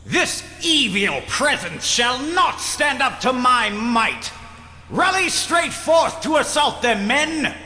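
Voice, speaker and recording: A man's voice: extremely high pitch, very animated, slow pace, bright, forceful tone, roomy sound, long reverb tail, faint music behind, exaggerated voice. A man playing a bumbling, bombastic heroic commander in a video game, declaiming pompously. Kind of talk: video game character acting.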